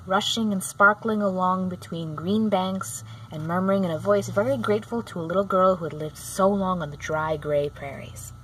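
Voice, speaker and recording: A woman narrates a children's storybook at a middle pitch. She reads a little haltingly, sometimes repeating a phrase. Clear background noise sits under the voice. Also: low-quality recording. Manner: halting, often rereads phrases. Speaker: storybook reader. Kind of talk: read-aloud narration of a children's book.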